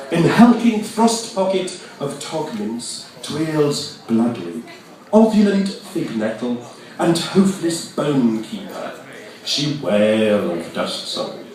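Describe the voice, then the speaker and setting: A man's voice: mid-range voice, a little mellow. A man performing poetry live, declaiming nonsense verse in a theatrical, mock-grand manner with precise enunciation. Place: reverberant venue at an arts festival. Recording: echoey hall, distant microphone.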